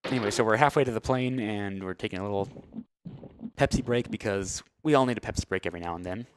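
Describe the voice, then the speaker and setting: A man's voice: fairly low pitch, fast, a little hiss or hum. A man giving casual, wry live commentary as he plays through a video game speedrun. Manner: wry, understated joking. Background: loud game music and sound effects.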